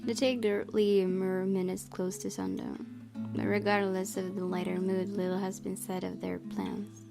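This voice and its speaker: A woman's voice: medium pitch, gravelly. A woman hosting a let's-play, reading a visual novel's narration aloud with dramatic storytelling delivery. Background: game music.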